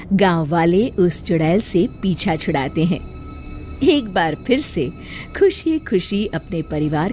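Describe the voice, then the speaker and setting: A woman narrating a children's moral story in an expressive, scripted storytelling style. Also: mid-range voice.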